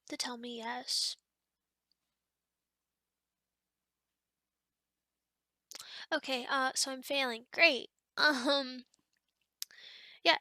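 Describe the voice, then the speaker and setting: A woman's voice: sits high, gravelly, bright, forceful tone, no room sound, exaggerated voice. A woman playing an awkward, chatty young sleep paralysis demon in an ASMR roleplay, rambling hesitantly and often dropping toward a whisper. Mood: awkward, flustered.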